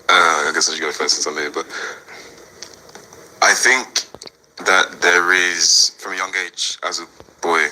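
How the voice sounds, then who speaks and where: A man in his twenties from Nigeria, co-hosting a podcast and speaking in a measured, hesitant way. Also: low voice, sharp tone, low-quality recording. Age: 20s. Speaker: podcast co-host. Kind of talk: casual panel discussion.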